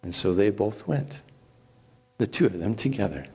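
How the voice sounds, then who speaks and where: A man giving a theatrical, deliberate dramatic reading of scripture, swelling and then hushing. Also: fairly low pitch, expressive, slightly rough. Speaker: scripture reader. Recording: long hall reverb.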